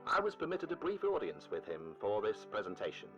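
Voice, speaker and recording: A man's voice: fairly low pitch, huge pitch swings, fairly quick, lo-fi sound. A man playing a formal old-time newsreel announcer in a comedy parody, florid and mock-solemn. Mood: solemn, grandiose. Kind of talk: vintage newsreel narration parody. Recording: music playing behind the voice.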